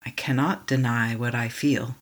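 A meditation guide leading a tapping session, speaking slowly and calmly in short affirmations for the listener to repeat. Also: mid-range voice. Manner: calm, slow, repeating affirmations to be echoed back.

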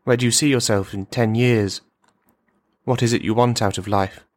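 Unhinged, verbose loner in an audio drama, taunting and paranoid, voiced by a man; mid-pitched, conversational delivery. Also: fairly even intonation, moderate pace, darkish tone, close to an everyday voice. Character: unhinged, menacing, rambling man, insulting and paranoid. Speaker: radio play performer. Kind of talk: radio drama monologue.

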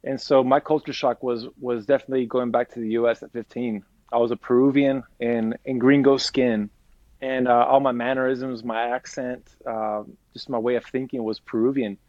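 A man's voice: medium pitch, level tone, normal speed, dry recording, lo-fi sound. A missionary pastor talking earnestly in a casual podcast conversation, often restarting his sentences. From United States, raised in Peru.

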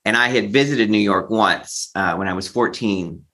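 A man's voice: on the low side, normal speed, a bit bright. A man in his fifties from Texas, telling his personal story candidly and reflectively as an interview guest. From Texas, United States. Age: 50s.

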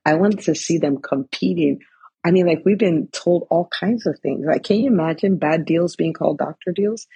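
A woman's voice: fairly low pitch, vocal fry, dark tone, slightly rough, no echo. A woman in her forties giving an earnest motivational talk, full of "right?" and "like". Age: 40s. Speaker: coach for physicians in business.

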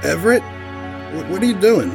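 A man's voice: sits high, animated, moderate pace, creaky, over music. A man playing a restless, informal young rock musician in a horror audio drama, with an agitated edge. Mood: groggy, confused.

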